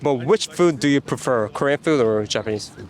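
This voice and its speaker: Male host interviewing passersby, mid-pitched, chatty, city street outdoors. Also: animated, fairly quick. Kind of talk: casual street interview questions.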